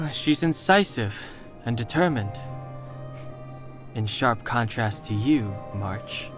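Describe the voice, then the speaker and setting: Game role: level-headed young strategist, measured speech, dry manner, medium register. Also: male voice, medium pitch, lively intonation, music in the background. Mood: dry, teasing. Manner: measured, matter-of-fact, dry. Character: cool, analytical, composed young man. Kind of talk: video game voice acting.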